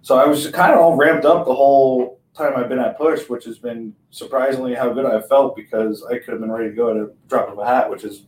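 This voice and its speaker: A man talks quickly and upbeat about his training, with a medium voice. He sounds far from the mic in an echoey room. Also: mid-range voice, steady tone, darkish tone, a little hiss or hum. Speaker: guest pitcher. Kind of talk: casual podcast chat.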